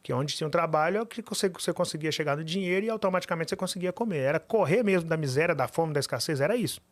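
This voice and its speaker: A man, sitting as a podcast guest and telling his story. He talks fast and keeps driving his points home by repeating them. His voice sits a bit higher than most men's. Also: no echo. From Minas Gerais, Brazil. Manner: fast, animated storytelling with emphatic repetitions.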